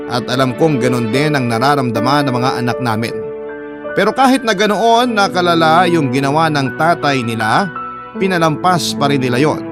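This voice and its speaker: A man narrating a first-person letter story in a dramatic, scripted style. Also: medium pitch. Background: background music.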